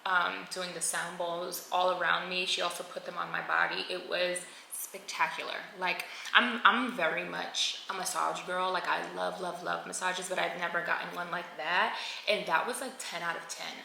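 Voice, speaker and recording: A woman's voice: lowish voice, fairly even intonation, moderate pace, gravelly, sharp tone. A woman in her twenties narrating a casual travel vlog in a chatty, slangy way, talking to viewers like friends. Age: 20s. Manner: chatty and slangy, addressing viewers as girl and honey. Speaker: vlogger. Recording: roomy echo with faint noise.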